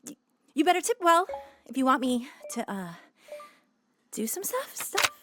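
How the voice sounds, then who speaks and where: An actress playing a flustered, scrappy young woman in a scripted audio comedy, hesitant, stammering and excitable. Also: high-pitched, unhurried, heavy background noise. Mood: nervous. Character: flustered, scrappy young woman starting an online dominatrix show. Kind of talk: scripted audio comedy acting and first-person narration.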